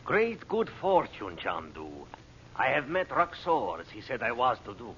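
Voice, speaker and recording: An actor playing a loyal Bedouin helper in an old-time radio adventure, solemn and deferential with formal, ornate phrasing. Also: male voice, mid-range voice, sing-song, unhurried, a bit bright. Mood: eager, respectful. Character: loyal, formal Bedouin ally of a magician, male. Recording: dull, noisy old radio recording.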